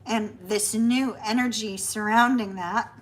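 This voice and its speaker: A middle-aged woman, chatty and reassuring as she reads tarot on a live stream, her voice going a bit hoarse. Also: medium pitch, steady tone, unhurried. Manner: chatty, warm reassuring delivery, says y'all. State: losing her voice. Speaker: tarot reader.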